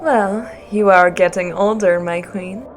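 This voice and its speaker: A woman's voice: mid-range voice, a little slow, a little hiss or hum, close to an everyday voice. An actress playing a haughty, slyly insolent noblewoman of the gods in an audio drama, mock-polite in her delivery. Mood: mock-polite, cutting.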